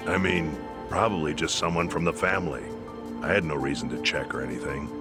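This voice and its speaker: A man's voice: extremely low pitch, a little fast, over loud music. A man playing a plain-spoken underworld insider in a video game cutscene, matter-of-fact and colloquial. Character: plain-spoken male underworld insider explaining secret rules.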